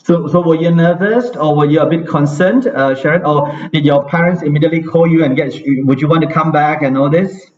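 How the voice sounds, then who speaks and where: A man's voice: fairly high pitch, a little fast, hardly any pauses. A man hosting a casual live chat over a video call, talking hesitantly with many fillers and restarts. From Malaysia. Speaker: host. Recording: distant, dull-sounding mic with faint hiss.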